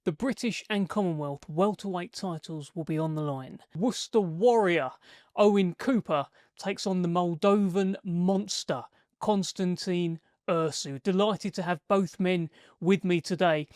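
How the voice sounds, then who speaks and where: A male sports interviewer with a notably high voice for a man. He speaks as a host presenting a promotion and questioning guests, and the sound is dry and close, without room echo. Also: a little slow, darkish tone.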